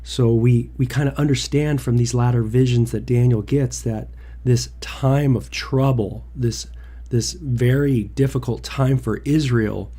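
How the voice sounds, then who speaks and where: A man's voice: mid-range voice, steady tone, measured pace, mellow. A man hosting a casual Bible devotional livestream from home, a little nasal from a cold, rambling with many fillers and restarts. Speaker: devotional host.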